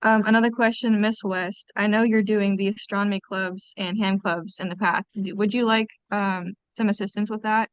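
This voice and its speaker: A woman's voice: mid-range voice, steady tone, normal speed, hardly any pauses, clean tone, dry recording. A woman speaking politely and casually as a participant in an online meeting over a conference call. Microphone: teleconference call. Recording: dull lo-fi conference call audio.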